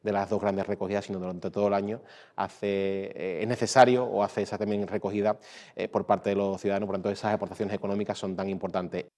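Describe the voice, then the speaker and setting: A man's voice: fairly low pitch, little pitch movement, quick pace, warm tone. A man giving a statement to the press, speaking fluently with occasional fillers.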